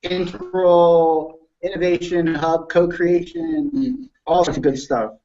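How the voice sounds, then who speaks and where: Higher-voiced man, slow and emphatic. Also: mellow. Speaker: guest. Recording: slightly muffled live stream audio.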